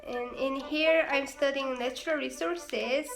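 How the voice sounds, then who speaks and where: A woman's voice: high-pitched, fairly even intonation, measured pace, over music. A young woman, an exchange student, casually introducing herself and her studies with a few hesitant fillers. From South Korea. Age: 20s. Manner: chatty, occasional hesitant fillers.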